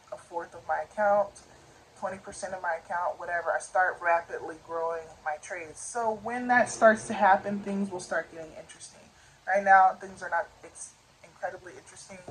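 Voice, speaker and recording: A woman in her late twenties chats loosely to camera, thinking out loud and checking in with "you know?" as she goes. Her voice sits on the low side for a woman. A faint hum runs behind her. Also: rough recording. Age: 20s. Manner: chatty, thinking aloud, frequent "you know". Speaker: vlogger. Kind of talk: casual vlog talk.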